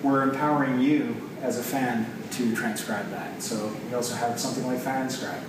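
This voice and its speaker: A man's voice: mid-range voice, steady tone, normal speed. Echoey, distant Canadian man presenting informally. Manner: conversational, explanatory, thinking aloud. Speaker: software developer presenting a product. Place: large open office loft, indoors. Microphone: camera mic a few metres away. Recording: echoey open room, distant camera mic, noisy. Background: clear background room noise.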